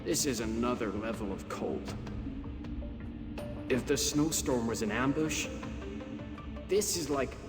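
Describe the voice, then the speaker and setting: An actor playing a complaining, dramatic young adventurer in a video game cutscene. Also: male voice, medium pitch, lively intonation, slow pace, pauses often. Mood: weary grumbling. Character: young male adventurer grumbling about bitter cold. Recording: long reverb, loud music behind.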